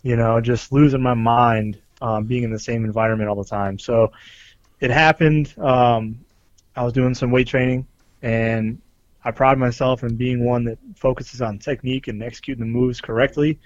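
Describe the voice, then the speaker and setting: A man of middle pitch who co-hosts a podcast and explains things earnestly and at length, filling his pauses with ums. His voice comes through dull and lo-fi, in a dry, close recording. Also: fairly even intonation, no room sound. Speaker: podcast co-host, police officer. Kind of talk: casual chat.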